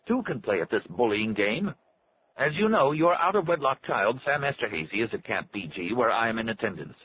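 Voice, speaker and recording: A man acts out a thin, unnatural-sounding doctor in an audiobook story, pitched well above a deep narrator's register. He is stiff, self-righteous and indignant, delivering formal threats. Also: very animated, fairly quick, highs cut off, slight background noise, lightly acted. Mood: stiff, menacing.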